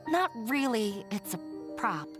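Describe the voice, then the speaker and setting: A woman's voice: a little high, very animated, takes it slowly. A woman voicing a flustered, hesitant young prop maker in a video game, brusque and apologetic by turns. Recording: music behind the voice.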